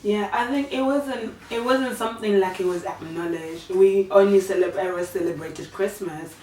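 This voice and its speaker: A woman in her thirties from Uganda, telling her life story in a soft, hesitant way with many fillers. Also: mid-range voice, moderate pace. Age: 30s. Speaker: guest. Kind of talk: casual storytelling. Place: living room, sitting on a sofa. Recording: echoey room, far from mic, faint hiss.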